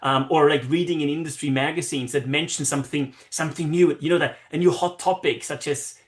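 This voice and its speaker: A man's voice: fairly high pitch, steady tone, brisk. A man in his forties with an Austrian accent, giving casual interview answers over a video call, enthusiastic and rambling with fillers. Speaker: guest, marketing consultant.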